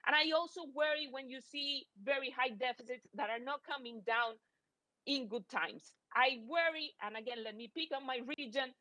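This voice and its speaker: A woman's voice: sits high, normal speed, sharp tone, dry recording, poor audio. A woman from Costa Rica, a native Spanish speaker, giving a conference presentation as an academic economist.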